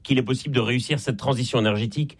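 Male radio guest expert, Belgian French accent, mid-pitched, articulate and didactic. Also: racing, slightly rough. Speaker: guest expert and author on energy transition. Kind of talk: radio interview, explanatory.

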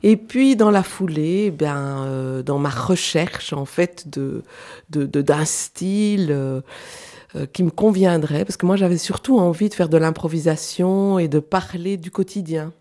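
Swiss-born woman guest, low voice, mellow tone, thinks aloud with pauses and fillers, radio studio. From Switzerland. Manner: thoughtful, halting, many fillers. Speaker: guest, clown and actress. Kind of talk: radio interview.

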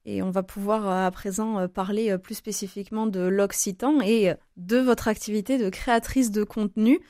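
A woman's voice: mid-range voice, steady tone, normal speed, warm tone, no room sound. A woman hosting a radio interview, asking long questions with frequent hesitations. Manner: hesitant questions with many fillers.

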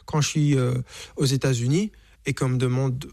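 A man's voice: mid-range voice, fairly even intonation, normal speed, warm tone. A man in his forties from Rwanda, a singer and author, answering in a reflective radio interview, often hesitating and repeating words.

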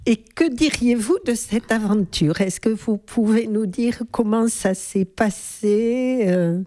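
A woman interviewer gently prompting her guest with questions. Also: a little high, takes it slowly. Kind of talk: radio interview.

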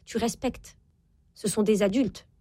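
A woman's voice: medium pitch, steady tone, unhurried, warm tone, breathy edge, no room sound. A woman in her forties speaking as an interview guest, explaining and giving parenting advice emphatically, repeating key phrases to insist. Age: 40s. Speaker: guest parenting expert and author. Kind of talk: interview talk, explaining and advising.

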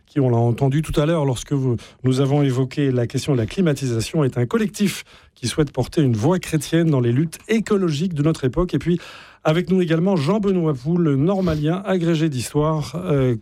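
A man's voice: fairly high pitch, a little fast, warm tone, dry recording. A man hosting a live radio debate, asking probing questions and rephrasing what is said. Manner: probing, rephrases guests' points.

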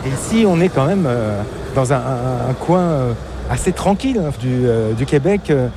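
French guy doing a relaxed interview, stumbles and repeats words, noisy car ride. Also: mid-range voice. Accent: French from France. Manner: halting, repeats words while phrasing questions. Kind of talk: casual interview chat. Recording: loud car and road noise.

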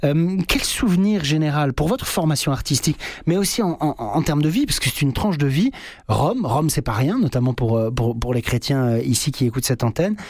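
A man's voice: a little high, expressive, a little fast, a little mellow, dry recording. Rambling male radio host, dry sound. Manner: long winding questions with fillers. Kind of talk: radio interview.

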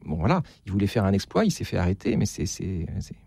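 A man speaking as a guest novelist, haltingly repeating words as he searches for phrasing. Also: deep voice, sing-song, creaky, mellow, slightly rough, dry recording. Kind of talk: unscripted interview discussion.

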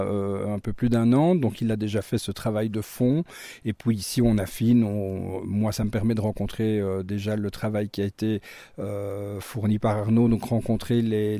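Belgian man, middle-aged chef, halting radio interview answers, dark warm voice, dry sound. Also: on the low side, no room sound. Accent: Belgian French. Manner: hesitant, many fillers and restarts.

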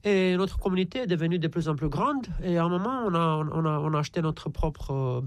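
A man from Romania, a guest priest speaking conversationally and haltingly, repeating words as he searches for them. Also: on the high side, lively intonation, no room sound. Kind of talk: conversational radio interview.

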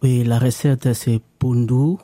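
Very dark-voiced man, halting. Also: mid-range voice, fairly even intonation, no room sound, clean studio sound. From DR Congo. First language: Lingala. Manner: halting, many restarts and fillers. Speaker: guest, association member.

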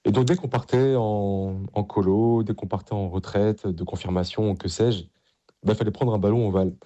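A man's voice: lowish voice, steady tone, moderate pace, warm tone, lo-fi sound. A middle-aged man, a priest answering interview questions in an earnest, enthusiastic, conversational way. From Paris, France.

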